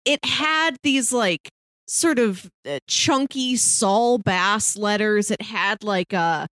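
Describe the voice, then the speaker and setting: A woman co-hosts a casual review show in a dry-sounding space with no room echo. Her voice is medium in pitch. She chats wryly, with a playful taste for wordy phrasing. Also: takes it slowly, fairly bright tone. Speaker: podcast co-host.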